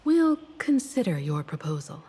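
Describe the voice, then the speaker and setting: A woman voicing a poised, cautious, mature traveler in a game, speaking in a calm, measured, guarded way. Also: fairly low pitch, husky, full character voice. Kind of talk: scripted game voice acting.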